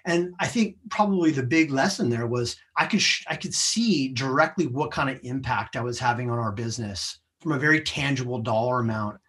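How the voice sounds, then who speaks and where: A man's voice: mid-range voice, a little fast, hardly any pauses, a bit bright. A man with an American accent speaking as a podcast guest in a conversational, explanatory way, often adding small checking tags. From United States. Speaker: podcast guest, sales professional. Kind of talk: conversational interview, explaining career lessons.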